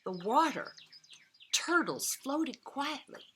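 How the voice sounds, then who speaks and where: Woman reading expressively to children, very animated pitch. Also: a little hiss or hum. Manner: dramatic pauses, emphatic key words, gentle asides to young listeners. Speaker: storyteller reading a picture book to children. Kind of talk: expressive children's read-aloud. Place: outdoors.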